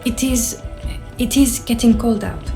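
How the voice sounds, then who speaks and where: A woman's voice: a little high, steady tone, unhurried, warm tone. An actress playing a weary, restrained policewoman with a hesitant manner in a video game, music playing behind. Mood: weary, uneasy.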